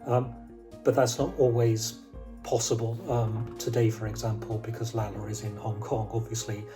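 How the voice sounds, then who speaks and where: Man explaining with pauses, music behind. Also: fairly low pitch, level tone. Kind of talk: explanatory interview.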